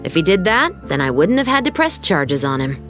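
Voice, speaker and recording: A woman's voice: low-pitched, very animated, a little fast, fairly bright tone, soft background music. A woman playing a firm, no-nonsense young patrol captain in a video game. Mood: resigned. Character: stern, assured young female patrol captain, justice-minded. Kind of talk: video game cutscene acting.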